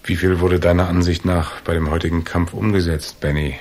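Low-voiced, laconic hard-boiled man. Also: flat delivery, brisk, darkish tone. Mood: dry, weary. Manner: terse, dry, sardonic. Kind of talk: German radio drama acting.

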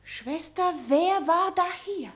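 Radio play casting: anxious, impulsive sister, high-pitched, emotionally intense, declaiming verse. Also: female voice, animated, slow pace, heavily acted. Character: anxious, impulsive, devoted younger sister in wartime. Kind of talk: theatrical verse radio drama.

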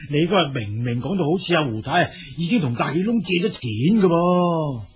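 A man's voice: fairly high pitch, lively intonation, normal speed, close to an everyday voice. A man playing a blundering, easily embarrassed husband who repeats his words, in a Hong Kong Cantonese radio drama. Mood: puzzled, accusing.

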